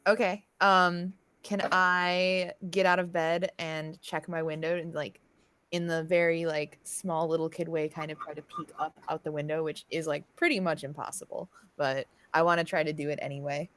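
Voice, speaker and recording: A woman's voice: low-pitched, flat delivery, moderate pace, fairly bright tone, clean tone, dry recording. A woman chatting casually as a player in a tabletop role-playing game.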